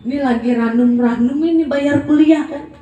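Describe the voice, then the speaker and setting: A woman's voice: high voice, moderate pace, dark tone, roomy sound, recorded from a distance. A woman in her forties giving a blunt, emphatic motivational talk in a casual, colloquial way. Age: 40s. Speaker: network marketing presenter.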